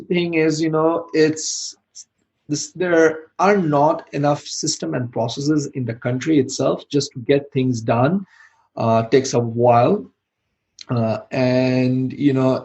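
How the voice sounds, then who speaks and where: A man's voice: mid-range voice, darkish tone, lo-fi sound. A middle-aged man from Bangladesh answering interview questions in a loose, rambling way, full of "you know" and restarts. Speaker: podcast guest, IT manager and founder.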